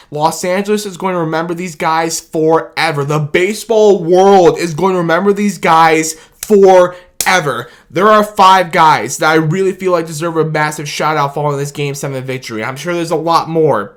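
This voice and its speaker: A man hosting a sports video, talking in an excited, casual way with frequent laughs and shouts of "baby" and "man", his voice a little worn from cheering. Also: on the high side.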